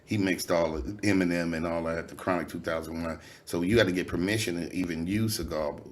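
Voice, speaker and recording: Low nasal-voiced man talking loosely. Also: fairly even intonation, a little fast, a little hiss or hum. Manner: loose, candid, swearing freely, many fillers. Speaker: rapper, guest. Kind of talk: casual interview conversation.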